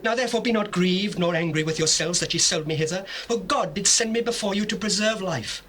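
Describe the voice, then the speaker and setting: A man's voice: sits high, a little fast, fairly bright tone. An actor playing an emotional governor of Egypt addressing his family in a dramatized scripture on old cassette tape. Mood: overcome, tender. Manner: fervent, declamatory. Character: Joseph, emotional lordly governor of Egypt, tender brother. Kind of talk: dramatized scripture, theatrical stage acting.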